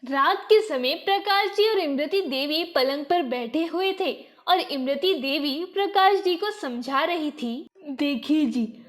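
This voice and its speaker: A woman narrator reading a scripted moral story. Her voice is very high and she tells it slowly, line by line. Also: animated, a bit bright.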